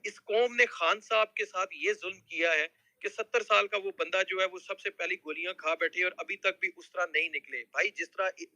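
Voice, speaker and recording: A man's voice: high voice, sharp tone, dry recording, poor audio. A man with a Pakistani accent giving an urgent, agitated political appeal in a group discussion, repeating himself to drive points home. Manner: agitated, exhorting, repetitive.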